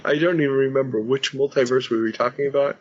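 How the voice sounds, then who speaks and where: Male podcast host, chatty and self-mocking, husky voice, dull lo-fi sound. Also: medium pitch, fairly even intonation, fast talker. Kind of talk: casual chat. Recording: slightly dull lo-fi podcast audio.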